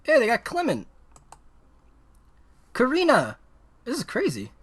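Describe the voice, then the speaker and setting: A man live-streaming a game, giving excitable, casual, slangy commentary and reading on-screen text aloud. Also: very high voice, a little slow, slightly rough.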